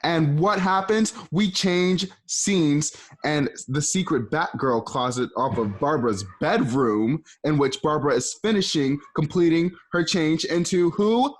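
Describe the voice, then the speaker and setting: A man narrating stage directions for a radio play in a lively, playful way. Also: a little high, unhurried, hardly any pauses. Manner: lively, playful, asks and answers his own questions.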